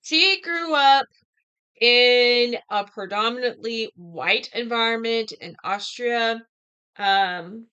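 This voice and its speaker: An American woman in her thirties talking casually and reflectively to camera, with halting, deliberate phrasing. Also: fairly high pitch, pushed and bright, smooth, lo-fi sound. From United States. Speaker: vlogger discussing a book.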